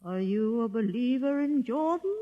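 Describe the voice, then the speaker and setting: A man's voice: very high-pitched, lively intonation, moderate pace. A man in a 1950s radio drama playing a guarded, suspicious doorkeeper. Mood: wary. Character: wary male doorkeeper, guarded and pious. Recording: dull old broadcast with long reverb.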